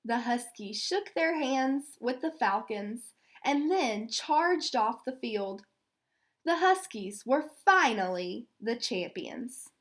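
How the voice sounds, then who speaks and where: Slow, high-voiced woman teacher reading aloud. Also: animated. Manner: deliberate, explanatory, counts aloud step by step. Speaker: elementary school teacher. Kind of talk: read-aloud storytelling with teaching asides.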